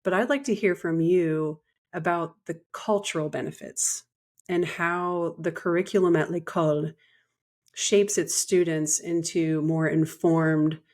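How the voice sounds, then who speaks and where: A woman's voice: sits low, steady tone, a little slow, darkish tone. A woman hosting a podcast interview, a mother chatting in a friendly, easygoing way. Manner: friendly, chatty, with light laughter. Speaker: podcast host and parent.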